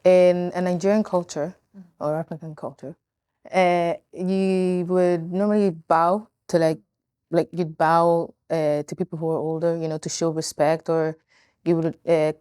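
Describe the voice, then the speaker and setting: A teenage girl co-hosting a talk show, speaking in a halting way as she searches for words. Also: fairly low pitch, level tone, dark tone, clear, resonant tone, no room sound, clean studio sound. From born in Spain, living in Northern Ireland. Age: teens. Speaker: co-host. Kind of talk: casual chat.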